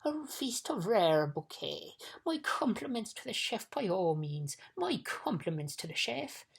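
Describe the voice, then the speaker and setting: A woman's voice: mid-range voice, big pitch swings, a little slow, gravelly, husky, pitched above the performer's own voice, full character voice. A woman voicing a pompous, flustered, gluttonous male bug in a storybook, with flowery, repetitive talk. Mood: grandly satisfied. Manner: pompous, flowery, repeats phrases.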